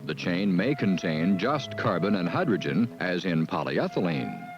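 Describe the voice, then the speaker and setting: A man giving measured, deliberate scripted narration for an educational training film. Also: low voice, sing-song. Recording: dull lo-fi film soundtrack with music.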